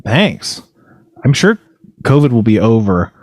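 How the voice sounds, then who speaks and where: A man's voice: mid-range voice, very animated, measured pace, a little mellow, no echo, clean studio sound. A man hosting a casual podcast chat, teasing his guests and laughing often. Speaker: podcast host.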